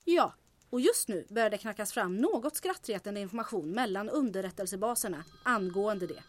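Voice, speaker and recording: En kvinna som spelar en skarp, omtänksam och lite skvallrig förtrogen i ett ljuddrama. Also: female voice, mid-range voice, expressive, lightly acted. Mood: wry, amused. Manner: inquisitive, confiding. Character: concerned, sharp female confidante, gossipy and wryly amused. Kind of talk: audio drama acting.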